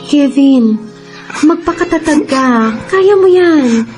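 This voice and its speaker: High-voiced tender young Filipina girlfriend. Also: lively intonation, takes it slowly, a little mellow, faint music behind. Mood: consoling. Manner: tender, soothing, earnest. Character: devoted, caring young girlfriend consoling her boyfriend. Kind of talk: Filipino radio drama acting.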